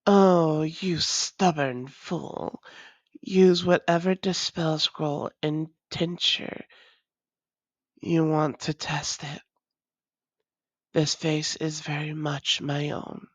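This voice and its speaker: A woman plays a wry female vampire with a low voice, devoted to a long-lost love. She speaks slowly and formally, in an intimate roleplay style. The sound is close, dry and slightly dull. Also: fairly even intonation, no echo, exaggerated voice. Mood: exasperated. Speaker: audio roleplay voice actor. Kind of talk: intimate audio roleplay acting.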